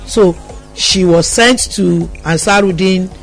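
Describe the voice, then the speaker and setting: A woman's voice: on the low side, slow, faint music behind, rough recording. A middle-aged woman with a Nigerian accent telling personal stories as a radio interview guest, with an emphatic manner. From Lagos, Nigeria.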